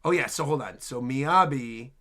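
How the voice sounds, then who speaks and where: A man's voice: mid-range voice, animated, moderate pace, bright, forceful tone. A man giving casual reaction commentary as a video host, full of enthusiastic exclamations.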